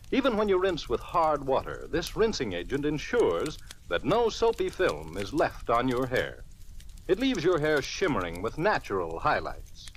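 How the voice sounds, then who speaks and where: A man delivering a polished, scripted 1940s radio commercial as the announcer. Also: fairly high pitch. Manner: polished, salesmanlike. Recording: noisy old radio recording.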